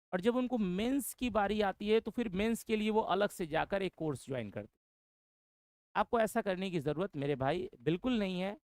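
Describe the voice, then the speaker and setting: A man's voice: high voice, no echo, clean studio sound. A man introducing an English course to exam students, repeating his points and often calling them brother. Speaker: English teacher.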